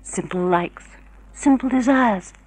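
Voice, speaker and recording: A woman's voice: fairly high pitch, slow, a little mellow, poor audio. A woman playing an arch, sophisticated, flirtatious society woman in a vintage radio drama. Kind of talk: 1950s radio drama acting.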